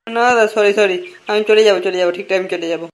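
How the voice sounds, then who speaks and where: A man's voice: high-pitched, fairly even intonation, rapid-fire, close to an everyday voice. A man voicing a hapless, timid young male prisoner in a comic cartoon, pleading and whiny. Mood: nervous pleading.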